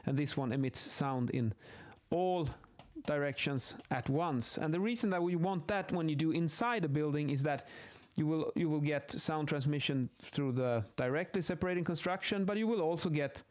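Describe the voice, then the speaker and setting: A man with a slightly high voice teaches in an informal, improvised way. He thinks aloud as he explains, often halting and rephrasing mid-sentence like an instructor working something out as he goes. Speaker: acoustics instructor explaining measurement methods. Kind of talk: informal explanatory lesson, improvised while sketching.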